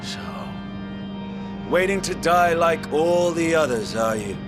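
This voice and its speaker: Slow, grave warrior over music. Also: male voice, on the high side, expressive. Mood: blunt challenge. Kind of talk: video game cutscene acting.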